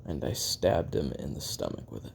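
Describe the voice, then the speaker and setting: A bitter, world-weary male assassin, played by a man in an audio drama confession. His low voice is full of gravel and swings widely as he broods and swears between heavy pauses. There is faint noise behind him. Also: expressive, full character voice. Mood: brooding, reflective. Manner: brooding, profane, halting delivery with heavy pauses and sighs.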